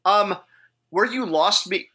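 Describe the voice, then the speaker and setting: Guy on a podcast panel, really bright sharp voice, rambles thru his movie takes casually. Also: high-pitched, takes it slowly.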